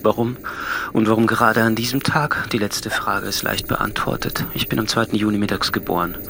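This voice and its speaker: An actor playing a solitary, coolly analytical man near forty, speaking a measured, introspective literary monologue that often sinks to a murmur. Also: on the low side, creaky, noisy background. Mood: brooding, measured. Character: brooding, solitary thirty-nine-year-old man, coolly analytical. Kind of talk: literary radio drama monologue. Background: music.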